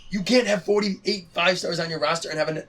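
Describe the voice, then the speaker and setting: A young man co-hosting a casual sports podcast, hoarse from losing his voice, getting excited and rising in pitch when he argues. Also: brisk. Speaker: podcast co-host. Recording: distant mic with faint background hiss.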